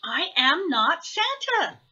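A woman's voice: extremely high pitch, sing-song, takes it slowly, pushed and bright, dull audio, pitched above the performer's own voice, heavily acted. A woman voicing a small, earnest baby owl for a storybook, indignant and emphatic, stretching out stressed words in protest.

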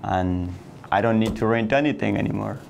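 A man's voice: fairly low pitch, normal speed, vocal fry, slight background noise. A man in his thirties from Afghanistan, speaking English in a sit-down interview, earnest, with phrasing and restarts. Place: indoor office room.

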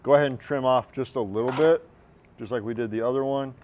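Man in his thirties, relaxed culinary instructor demoing a dessert, lapel mic in a big kitchen, faint hum behind. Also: mid-range voice, fast. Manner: relaxed, encouraging, talks while working. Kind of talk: cooking demonstration. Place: large teaching kitchen, indoors.

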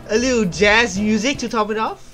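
A man's voice: sits high, pushed and bright. A young man giving casual reaction commentary, repeating himself and searching for words as he goes. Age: young adult. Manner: halting, repetitive, searching for words. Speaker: reaction video host. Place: small home room, indoors. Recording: game trailer music playing behind.

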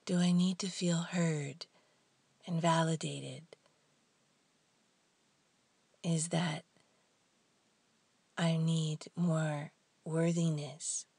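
A woman guides a meditation in a hushed, husky and breathy voice. Her delivery is soft and very even in pitch, with long pauses between short phrases. The sound is close and dry. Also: dry recording.

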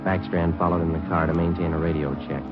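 Very deep, deadpan actor as police detective. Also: male voice, fast talker, natural voice. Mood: matter-of-fact. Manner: terse, deadpan. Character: terse, stoic male police detective. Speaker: detective narrator and lead. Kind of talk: 1950s radio drama narration, clipped and factual. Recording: muffled old radio recording with music.

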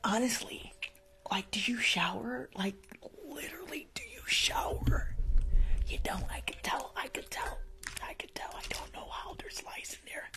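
A young woman mostly whispers her way through an ASMR roleplay as a grumpy school nurse. Her breathy voice has a crackly edge and sits on the high side. She is blunt and tends to repeat herself, close up to the microphone. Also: huge pitch swings, measured pace. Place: small indoor room.

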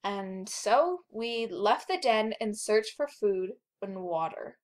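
A woman voicing a grown female wolf narrating her own tale in a measured way for a cartoon audition. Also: medium pitch, animated. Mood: somber, measured. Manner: measured storytelling.

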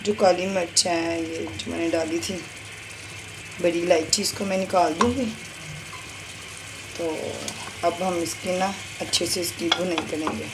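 Woman cooking vlogger chatting thru her recipe, loud frying noise in the kitchen, phone mic. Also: fairly low pitch, fairly even intonation, measured pace, long pauses. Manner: chatty, repeats "ye dekhiye" while showing each step. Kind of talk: casual cooking demonstration. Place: home kitchen. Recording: kitchen sizzle and noise behind.

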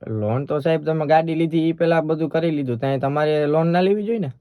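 Man, Saurashtra Gujarati accent, rapid polite persistent phone patter, dry lo-fi sound. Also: fairly high pitch, mellow, clean tone, no room sound. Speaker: prank caller posing as bank employee. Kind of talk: prank phone call in casual dialect.

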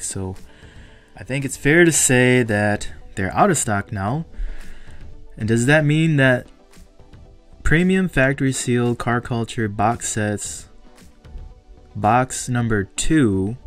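A man casually commenting on an unboxing of collectible toy cars, speaking with eager excitement about value. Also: mid-range voice. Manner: enthusiastic, speculative collector talk. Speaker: toy car collector vlogger.

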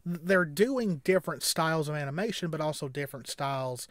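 A man in his thirties giving casual, rambling reaction commentary as a co-host, halting and repeating words as he explains. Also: on the high side, animated, no room sound, studio quality. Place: small indoor room at home.

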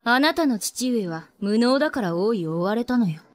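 Merciless anime princess, woman actor, highish voice, imperious and taunting, very dynamic intonation. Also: animated, moderate pace, natural voice. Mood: haughty. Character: cold, merciless teenage warrior princess, imperious, menacing. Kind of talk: anime voice acting.

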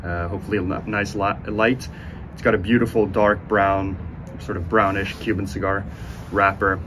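A man in his twenties giving a casual, unhurried review to camera, speaking with enthusiastic praise. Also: sits low, flat delivery. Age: 20s. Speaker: cigar reviewer, host. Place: outdoors on a sunny porch by windows. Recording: outdoor ambient noise.

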